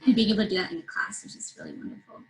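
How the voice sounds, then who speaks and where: Quick-talking young woman student. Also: medium pitch, wildly swooping pitch, slight background noise, rough recording. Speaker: engineering student panelist and moderator. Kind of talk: casual panel talk on a video call.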